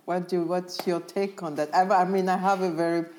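A woman moderating a panel discussion, soft and encouraging, inviting others to speak. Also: fairly low pitch, fairly even intonation, normal speed, a little hiss or hum. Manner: soft, encouraging, frequent murmured agreement.

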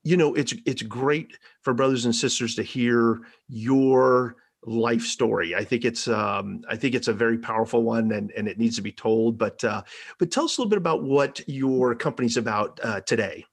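A man's voice: medium pitch, normal speed, vocal fry, breathy edge. Friendly male podcast host. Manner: friendly, affirming, hesitant fillers. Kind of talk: podcast interview.

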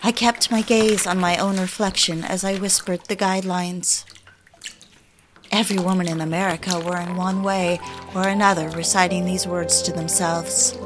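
An actress playing an anguished, bitter 1950s housewife who confides in deliberate, literary phrases, as in a dramatic audio drama narration. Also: female voice, on the low side, little pitch movement, lightly acted. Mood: confiding, bitter. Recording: music behind the voice. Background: music.

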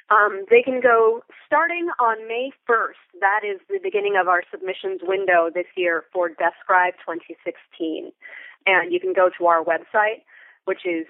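American woman, creaky voice over phone line. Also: medium pitch, dry recording. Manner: wordy, articulate phrasing with vivid examples. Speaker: interview guest, theater Foley artist. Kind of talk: conversational interview. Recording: band-limited phone-like line.

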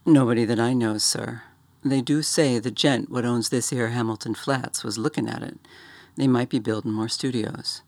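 A man's voice: mid-range voice, steady tone, normal speed, vocal fry, a little mellow, no echo, clean studio sound, lightly acted. Man putting on a nervous Cockney bellhop, deferential and earnest. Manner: deferential, says sir constantly, dropped g's.

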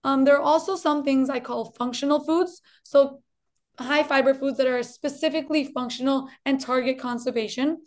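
A woman with a faint Colombian Spanish accent speaks in a high voice. She is a dietitian guest on an interview, explaining clearly and repeating points for emphasis. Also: fairly even intonation, normal speed. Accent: slight Colombian Spanish.

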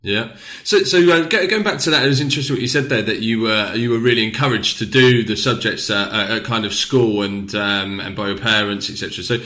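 A man's voice: lowish voice, fairly quick, hardly any pauses, sharp tone. A man hosting a casual podcast interview, asking rambling questions with hesitations and repeated words.

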